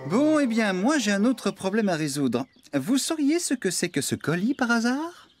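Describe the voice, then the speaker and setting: A good-natured male farmhand in a preschool cartoon. He is slightly sceptical and exclaims readily, his pitch moving in lively swings. Music is clearly heard behind him. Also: normal speed. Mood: chatty. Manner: animated, exclaiming. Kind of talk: French-dubbed preschool cartoon acting.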